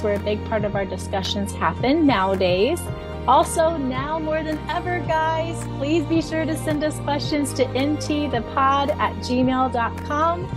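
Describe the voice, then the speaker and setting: A woman co-hosting a fan podcast in casual chat, gushing and giggly in her enthusiasm. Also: fairly high pitch. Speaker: podcast co-host.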